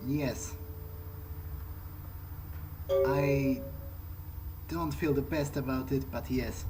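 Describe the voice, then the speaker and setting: A man's voice: mid-range voice, fairly even intonation, slow pace, over loud music, close to an everyday voice, low-quality recording. A man playing a polite, hesitant novice vampire in an improvised video game playthrough, thinking aloud as he goes. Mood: hesitant, relieved. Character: polite, hesitant young male vampire, new to undeath. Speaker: gamer role-playing his character.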